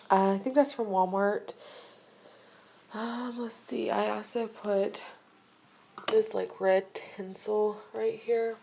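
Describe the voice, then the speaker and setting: A woman's voice: medium pitch, vocal fry, a little mellow, slight background noise. A woman from Ohio with a nasal, allergy-stuffed voice, giving a casual home tour on a handheld phone and filling her talk with lots of ums. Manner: slow, filler-heavy chatter with many ums. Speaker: home vlogger. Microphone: phone held in hand.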